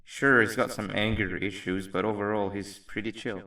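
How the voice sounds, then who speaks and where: Low-voiced, easygoing creature pal. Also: male voice, fairly even intonation, moderate pace, bright, forceful tone, recorded from a distance, rough recording. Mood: casual, curious. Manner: casual, chatty, kindly. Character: friendly, easygoing, considerate male creature companion. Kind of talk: video game character acting.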